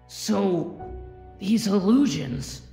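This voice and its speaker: A young cartoon hero played by a woman, defiant and distressed. The delivery is halting and dramatic, with wide swings in pitch and a creaky edge. The voice echoes over faint music. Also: lively intonation, mellow, full character voice. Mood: shocked. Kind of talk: scripted fan comic dub.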